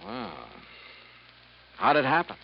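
A man's voice: a little high, huge pitch swings, takes it slowly, gravelly, a little hiss or hum, full character voice. An actor playing a brash, shrewd male insurance investigator in a 1950s radio drama, with a wry, clipped, hard-boiled delivery. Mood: wry, confident. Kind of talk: 1950s radio drama acting with first-person narration. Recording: muffled 1950s radio recording with music.

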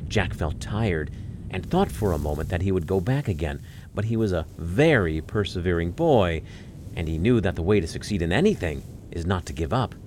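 A man narrating a scripted fairy tale as a storyteller. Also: fairly low pitch.

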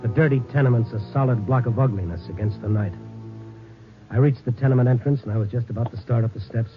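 An American man playing a tough, persistent newspaper reporter in a 1950s radio drama, with brisk, insistent delivery. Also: mid-range voice, over music, lightly acted. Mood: grim narration. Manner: brisk, clipped, insistent questioning. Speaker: lead actor and narrator. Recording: dull, noisy old radio recording.

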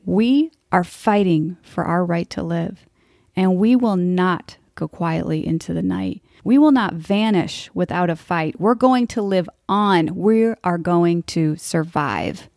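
A woman's voice: fairly low pitch, slow, vocal fry, darkish tone, dry recording, clean studio sound. A woman in her forties hosting a podcast, talking in a frank, blunt and wry way. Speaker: podcast host. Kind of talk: scripted podcast monologue.

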